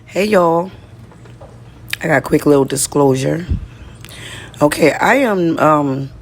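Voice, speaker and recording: Raspy, chatty woman from the US. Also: deep voice, lively intonation, slow pace. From United States. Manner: chatty, direct, addresses viewers as y'all. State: slightly hoarse. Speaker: vlogger. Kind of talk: casual diary-style monologue.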